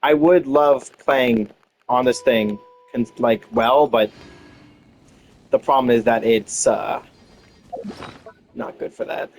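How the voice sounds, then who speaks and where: A man's voice: medium pitch, steady tone, slow pace, long pauses, darkish tone, a little hiss or hum, poor audio. A man giving casual gameplay commentary, rambling and thinking aloud as he goes. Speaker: gamer commenting on own playthrough.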